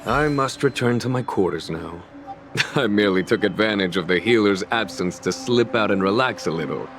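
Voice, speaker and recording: A composed game general, low in pitch, courteous and gently wry. He speaks formally and diplomatically over clear background music. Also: lively intonation, moderate pace. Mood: relaxed, wry. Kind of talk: video game voice acting. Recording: music behind the voice.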